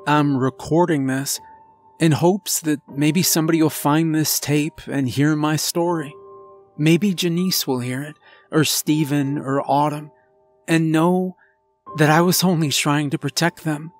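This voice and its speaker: A man voicing a remorseful werewolf husband and father confessing in a grave, halting, emotional horror story. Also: a little high, fairly even intonation, lightly acted. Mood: anguished, regretful. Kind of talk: dramatic first-person horror storytelling. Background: background music.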